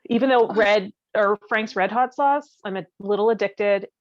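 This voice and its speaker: A woman's voice: mid-range voice, normal speed, no echo. A woman co-hosting a podcast in casual, conversational talk. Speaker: podcast co-host. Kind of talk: casual chat. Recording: dull, lo-fi close dry audio.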